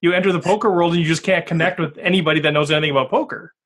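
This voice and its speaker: Joking male podcast host, slightly high voice. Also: steady tone, racing, fairly bright tone. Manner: joking, rambling, many restarts. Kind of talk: casual interview.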